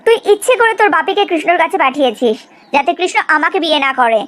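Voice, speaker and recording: Cartoon jealous young friend, woman performer: extremely high voice, accusing, melodramatic, combative delivery. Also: very animated, fast talker, a bit bright, full character voice. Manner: confrontational, dramatic.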